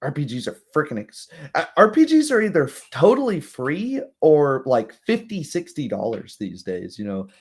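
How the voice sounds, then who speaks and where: A young man hosting a livestream with casual commentary, speaking in a rambling, halting way and often checking in with tag questions. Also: a little high, wildly swooping pitch, slightly rough. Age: young adult. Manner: rambling, halting asides, frequent "right?" tags. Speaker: livestream host.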